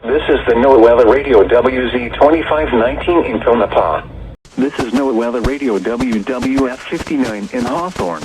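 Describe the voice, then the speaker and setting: A man reading scripted weather radio station identifications in a formal, measured way, like a public service announcer. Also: mid-range voice, crowd noise. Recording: muffled, noisy weather radio broadcast.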